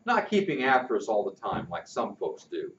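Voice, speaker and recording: Older storyteller reading aloud, roomy. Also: male voice, lowish voice, moderate pace, a little hiss or hum. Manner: lively, expressive reading with asides to listeners. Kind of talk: reading a chapter book aloud. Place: indoor classroom-like room with posters. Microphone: far camera. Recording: echoey room, distant dull mic.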